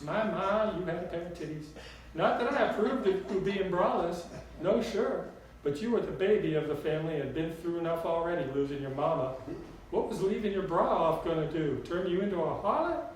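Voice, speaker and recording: A man's voice: sits high, brisk, voice pushed up, lightly acted. A man voicing an elderly Southern grandmother spirit in an audiobook, sweet and blunt, with a folksy drawl. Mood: folksy, sassy. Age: older. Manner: folksy drawl full of endearments. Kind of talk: audiobook storytelling character voice. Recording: very echoey room, distant mic, faint noise.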